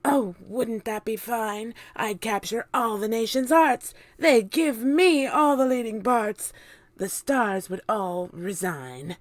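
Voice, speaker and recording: A woman voicing a vain, bossy old aunt who speaks slowly and haughtily. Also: a little high, no echo, voice pushed up. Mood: haughty.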